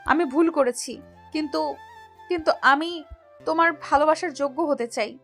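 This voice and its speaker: A woman playing a lovesick, remorseful younger sister in an audio love story, speaking softly and earnestly in a pleading way. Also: high voice, normal speed, faint music behind.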